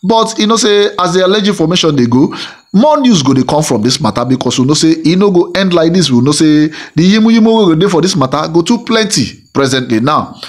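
A man's voice: on the high side, animated, fairly quick. A middle-aged man speaking with a Nigerian Pidgin accent, whose first language is Igbo, delivering a rambling celebrity gossip monologue full of fillers and repeated phrases. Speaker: gossip channel host.

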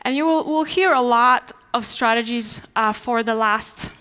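A young woman with a Polish accent addresses a conference audience from a large dark stage. Her voice sits a little high, and she talks in a loose, conversational way, full of fillers and restarts. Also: unhurried. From Poland. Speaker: conference speaker. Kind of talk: conference talk.

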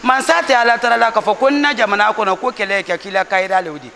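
A woman's voice: medium pitch, quick pace, vocal fry, a bit bright, slight background noise. A middle-aged woman from Guinea answering a street interview indoors, firmly repeating her main point. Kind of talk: vox pop answer. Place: indoors by a wall.

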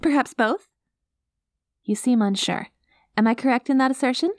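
A woman voicing a cute, gentle alien girl in an ASMR roleplay, naive and literal, with a hesitant, reassuring manner. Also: high-pitched, normal speed, dry recording, clean studio sound, natural voice.

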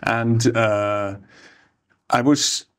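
A man in his forties, a plastic surgeon, telling his life story in a relaxed interview, speaking easygoingly with many hesitations into a table microphone. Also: mid-range voice, drawn-out words, smooth. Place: ornate room, seated interview. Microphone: studio microphone on table.

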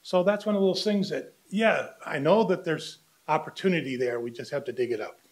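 An older man giving a public comment speech at a podium microphone in a meeting room, plain and steady with light humor. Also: fairly high pitch, brisk. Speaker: candidate for community association board.

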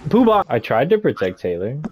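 A man streaming a shooter game, chatting casually with friends and joking around with excited callouts. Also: mid-range voice, a little fast. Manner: playful, jokey, excitable callouts. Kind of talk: casual gameplay chat with friends. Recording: gunfire sounds behind the voice.

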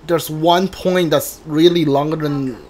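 A man's voice: on the high side, steady tone, measured pace, a little mellow. A man giving casual, rambling live game commentary, hesitant and thinking aloud. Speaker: streamer playing a game blind. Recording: clearly noisy background.

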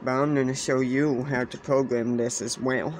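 A man giving a casual explainer demonstration in a halting way, often repeating phrases, recorded on a handheld camera indoors. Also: mid-range voice. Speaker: hobbyist presenter. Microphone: handheld camera or phone. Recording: clear steady background noise, handheld camera.

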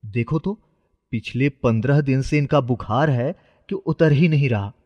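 A man's voice: mid-range voice, a little slow, lightly acted. A man voicing a poor, earnest young boy, a devoted son, in a cartoon story. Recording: muffled, with long reverb.

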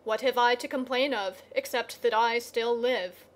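A woman's voice: a little high, normal speed, lightly acted. A woman voicing a plain-spoken mortal farmer, a loving husband. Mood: quiet, bitter.

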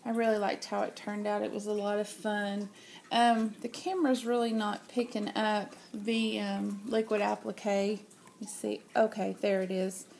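A woman's voice: mid-range voice, little pitch movement, moderate pace, vocal fry, a little mellow, a little hiss or hum. A woman with a cold and a nasal voice, chatting casually as she shows handmade cards at home, hesitating often with ums. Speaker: craft vlogger. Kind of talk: casual show-and-tell. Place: home, at a table.

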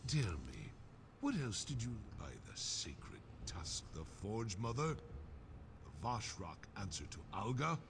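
A man voicing a gruff, blunt, battle-hardened orc warrior in a fantasy video game, with a gravelly voice. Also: fairly low pitch, very animated, slow pace, noisy background, full character voice. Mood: uneasy. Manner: blunt, swearing, wry. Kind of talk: fantasy game character acting.

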